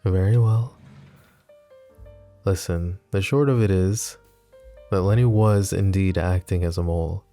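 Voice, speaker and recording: Male actor as composed mafia boss, low-pitched, dark soft timbre, measured delivery, intimate ASMR roleplay, music underneath. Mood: composed, businesslike.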